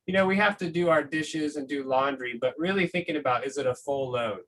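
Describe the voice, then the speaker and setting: A man's voice: mid-range voice, a little fast, a bit bright. A man reading a picture book to school families and chatting about it in an encouraging, conversational way. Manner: encouraging, conversational, often checks in with "right?". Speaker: school reader addressing families. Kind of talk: picture-book read-aloud with casual commentary. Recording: noticeable background noise.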